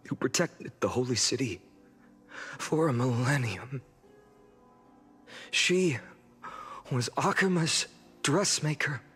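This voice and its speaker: An actor playing an earnest young heroic leader in a fantasy game, giving a solemn, measured speech to his city. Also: mid-range voice, a bit bright, husky, heavily acted. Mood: solemn, tender. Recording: long hall reverb with music behind. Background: music.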